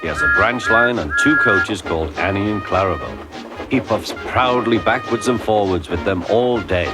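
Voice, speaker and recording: Male storybook narrator, low register, very lively intonation, expressive character reading, music underneath. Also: normal speed. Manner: expressive, acts out character voices. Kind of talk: scripted children's story narration.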